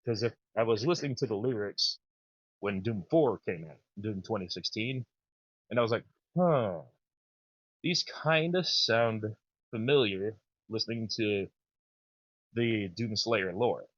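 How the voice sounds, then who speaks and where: A man in his thirties with a naturally low voice, chatting casually and enthusiastically as a podcast host. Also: unhurried, pauses often, dry recording, highs cut off. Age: 30s. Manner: rambling, enthusiastic. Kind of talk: casual chat.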